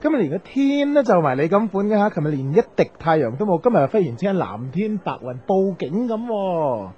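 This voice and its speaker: An actor with a Hong Kong Cantonese accent playing a gossipy, campy, meddling friend in a radio drama. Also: male voice, high voice, sing-song, fairly quick, breathy edge, heavily acted. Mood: chatty, sly. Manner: chatty, quirky, gossipy. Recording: dull, noisy radio drama recording.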